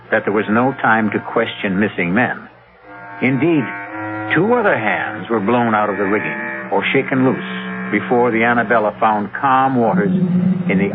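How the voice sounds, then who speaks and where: Guy narrating an old radio mystery, lowish voice, dramatic storytelling, thin phone-like sound with music under. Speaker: host and narrator. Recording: narrow-band old radio broadcast recording.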